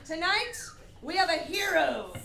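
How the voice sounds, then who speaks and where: A woman's voice: very high-pitched, big pitch swings, a little slow, gravelly, pushed and bright. A woman hosting a live show in a playful, exuberant, theatrical way, hyping up the audience. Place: tavern stage before a live audience. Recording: roomy live venue with music, crowd.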